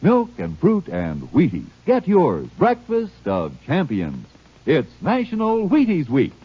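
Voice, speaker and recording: A man's voice: on the high side, huge pitch swings, takes it slowly, a little hiss or hum. An American man delivering a folksy, punchy commercial in 1950s radio announcer style. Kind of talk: 1950s radio advertising pitch. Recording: muffled, husky-sounding vintage radio recording.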